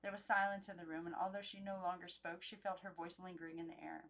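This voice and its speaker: A woman's voice: lowish voice, level tone, quick pace, fairly bright tone. A young adult woman reading a children's novel aloud as an amateur audiobook narrator, unedited.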